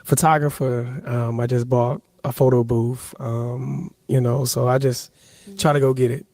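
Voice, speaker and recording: A man on a dating show answers questions about himself haltingly, filling gaps with ums. His tone is mellow and relaxed, and the sound is dry. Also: mid-range voice, no echo. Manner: hesitant, with frequent fillers. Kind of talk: casual on-camera interview.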